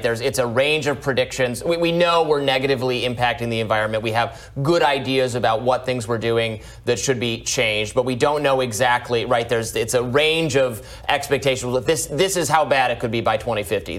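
A man with a middling pitch gives unscripted political commentary as a show host. He explains things step by step, hedging as he goes and checking in often. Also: moderate pace, hardly any pauses, fairly bright tone.

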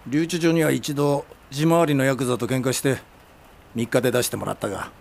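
An actor in a radio drama playing a crude, proud old film director, curt and teasing, with a gravelly voice. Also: male voice, medium pitch, steady tone, fairly quick, darkish tone. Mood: gruff, wry. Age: older. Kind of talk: Japanese radio drama acting.

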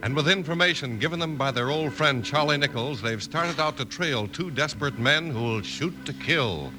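A man's voice: on the high side, normal speed, music in the background, low-quality recording. A man giving dramatic scripted narration as a radio western announcer.

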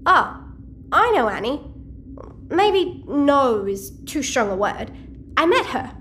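A quirky teenage hacker girl, speaks in a high voice that swoops with cheeky energy. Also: very animated, slow, a bit bright, a little hiss or hum, exaggerated voice. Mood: chatty. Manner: cheeky, chatty, slangy. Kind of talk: audio-fiction drama dialogue.

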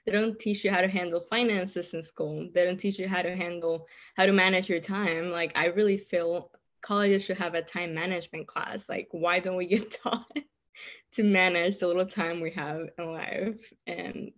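Cuban-accented young woman, low voice. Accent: Cuban Spanish. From Cuba. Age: 20s. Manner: laughs often while talking. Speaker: podcast guest. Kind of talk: casual interview chat.

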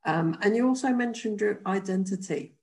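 A woman's voice: medium pitch, moderate pace, darkish tone. A woman hosting a podcast interview, asking questions and encouraging her guest. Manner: encouraging, frequent back-channel mm-hmms. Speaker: podcast host.

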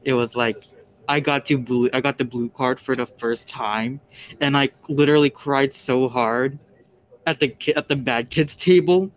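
A man's voice: medium pitch, moderate pace. Teen guy on a school podcast, gets all worked up telling stories, faint background hum. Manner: animated, excitable storyteller. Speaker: co-host. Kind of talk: casual chat.

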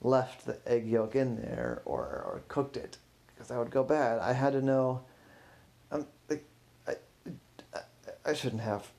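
A creaky, mumbling museum technician in a spoken story, acted by a man, worn out and guilty. He stammers slangy excuses and gets defensive. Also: mid-range voice, animated, unhurried, darkish tone. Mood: guilty, pleading.

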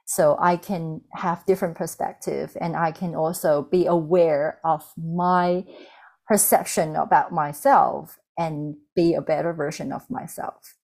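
A woman in her thirties speaks English with a Mandarin Chinese accent. Her voice sits low and carries a gravelly fry, and she tells her stories candidly, pausing on ums and restarting her phrases. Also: a little mellow. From China. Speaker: guest. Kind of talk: reflective personal storytelling.

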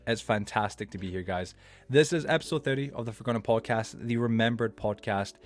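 Young man, podcast host, rapid-fire chatter, low voice, faint music underneath. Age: 20s. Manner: rambling, many fillers and restarts. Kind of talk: casual late-night podcast chat.

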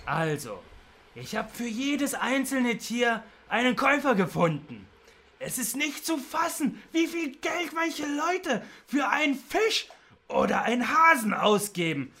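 An actor plays a domineering crook in a children's radio play. The voice is bright and swoops up and down, with a gravelly creak. Every line is boastful or scornful. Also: medium pitch, sing-song, unhurried, exaggerated voice. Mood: gloating. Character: gloating, bossy adult animal thief, ringleader. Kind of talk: children's audio drama acting.

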